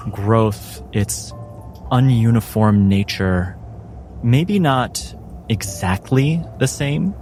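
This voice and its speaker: A man narrating a tabletop game as game master, in measured, deliberate phrases with dramatic pauses. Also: fairly low pitch, mellow.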